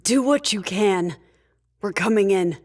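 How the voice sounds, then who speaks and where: A sardonic, authoritative leader in a sci-fi audio drama. She speaks at a medium pitch in a dry, commanding way that expects to be obeyed. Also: animated, measured pace, a little mellow.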